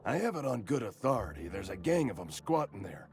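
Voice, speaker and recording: Cautioning, sly male quest-giver, very expressive delivery, video game, music behind. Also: fairly low pitch, brisk. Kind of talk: video game dialogue acting.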